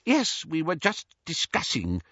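Audiobook role: elderly wizard done by a male reader, wheezy, raised pitch, solemn, easily offended, wavering up and down. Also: huge pitch swings, unhurried, sharp tone, husky, no room sound, lo-fi sound, heavily acted. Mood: flustered. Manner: stiff, solemn, wounded dignity. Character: loyal, stiff, indignant elderly wizard gentleman.

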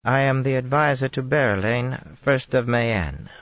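A dignified sorceress, her voice lifted and set apart from the narrator's own timbre, every word formal and carefully measured, as a man performs her. Dry, slightly dull audiobook sound. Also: mid-range voice, animated, a bit bright, no room sound, voice pushed up. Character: composed, dignified sorceress, middle-aged woman.

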